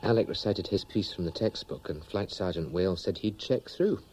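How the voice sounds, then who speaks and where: An actor playing an earnest young wartime RAF pilot in a radio drama, speaking in an understated way. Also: male voice, low-pitched, normal speed, a little mellow, a little hiss or hum, lightly acted. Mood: earnest, defensive. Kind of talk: 1980s radio drama acting.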